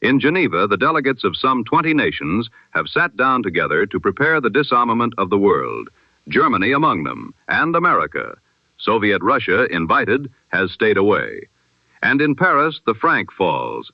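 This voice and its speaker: A man presenting a scripted news bulletin in 1920s radio style, with measured, solemn, oratorical phrasing and a vintage radio filter. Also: lowish voice, very animated, no echo. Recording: dull, band-limited old radio sound.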